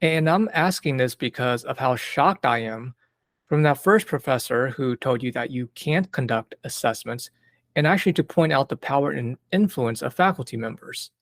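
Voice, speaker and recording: Guy hosting a podcast interview, supportive and reflective, medium pitch, dry close sound. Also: expressive, moderate pace, no echo. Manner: reflective, supportive, asks open questions. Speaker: podcast host interviewing a psychologist. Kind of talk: interview conversation.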